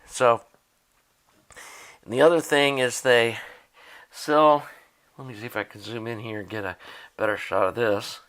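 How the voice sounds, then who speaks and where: A man's voice: mid-range voice, steady tone, measured pace, long pauses, fairly bright tone. Plainspoken man narrating a hands-on repair. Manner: plainspoken, unscripted, thinking aloud while working. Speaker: hobbyist demonstrating a tape deck repair.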